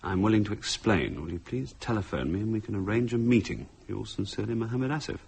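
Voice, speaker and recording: An actor with a British accent playing a wry, brisk, curious husband in a radio drama, his voice husky. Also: on the low side, a little mellow. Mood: wry, decisive. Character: dry, brisk, curious husband eager for answers.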